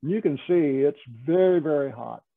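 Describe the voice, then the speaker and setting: A man giving an informal, explanatory slide presentation as an instructor, halting and often repeating words. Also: a little high, creaky, no room sound. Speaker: metalworking studio lead, instructor. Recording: tinny, narrow phone-like call audio.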